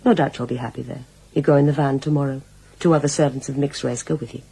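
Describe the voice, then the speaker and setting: Stern, low-voiced woman in command. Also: steady tone, quick pace, gravelly, dark tone, breathy edge, exaggerated voice. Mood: cold, scornful. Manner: curt, commanding. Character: stern, cold female household supervisor, middle-aged. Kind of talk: radio drama acting. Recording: noisy radio drama recording.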